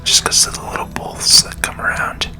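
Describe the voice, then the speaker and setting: A person whispering ASMR commentary while playing a video game, in a gentle, unhurried manner. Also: rough recording. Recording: music under the voice. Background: game music.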